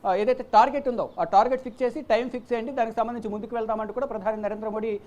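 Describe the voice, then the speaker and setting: Fast-talking woman news presenter, dull audio. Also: a little high, steady tone, mellow, a little hiss or hum. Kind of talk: unscripted live news commentary.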